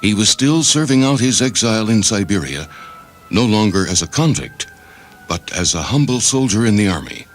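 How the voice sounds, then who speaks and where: A man reading literary fiction as narrator in a measured, scripted style. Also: fairly low pitch, big pitch swings. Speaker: narrator, story reader. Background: faint background music.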